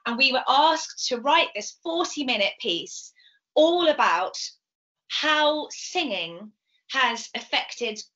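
A middle-aged British woman explaining things in an interview over a video call, speaking in an animated, emphatic, passionate way. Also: high-pitched, slow pace. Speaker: composer and singer being interviewed. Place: indoors, home room. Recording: slightly dull video call audio.

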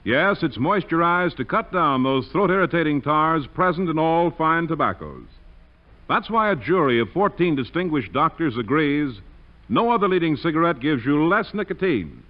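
Man, vintage radio ad announcer, emphatic, animated intonation, dull old recording. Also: on the high side, moderate pace. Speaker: commercial announcer. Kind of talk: 1940s radio ad read, emphatic. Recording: muffled 1940s radio recording.

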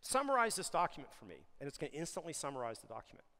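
Emphatic man giving a keynote on a big stage. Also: on the high side. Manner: energetic, emphatic, speeds up and slows down for stress. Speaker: keynote speaker for an industry association. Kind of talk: conference keynote presentation. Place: large conference stage. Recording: long hall reverb of a big venue.

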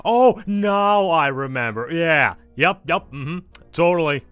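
A man's voice: fairly high pitch, animated, slow, fairly bright tone, no echo, soft background music. A man voicing a big, dim-witted sidekick pony colt, doltish and eager to agree, in a cartoon fan-fiction audio drama. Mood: confused, agreeing.

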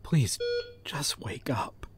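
A man playing a devoted, guilt-ridden detective in a dramatic audio roleplay, speaking in an earnest, brooding, halting way. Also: lightly acted. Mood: sorrowful, pleading. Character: guilt-ridden, devoted male detective hunting a serial killer.

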